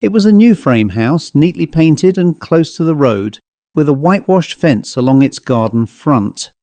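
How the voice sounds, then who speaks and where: A man reading a ghost story as an audiobook narrator, in a measured, dramatic storytelling manner. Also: medium pitch, a little mellow, no echo.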